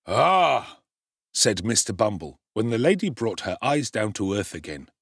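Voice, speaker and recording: A man narrating a classic novel as a dramatic audiobook performance, in an expressive, theatrical way. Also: lowish voice, normal speed, slightly rough, no room sound, studio quality.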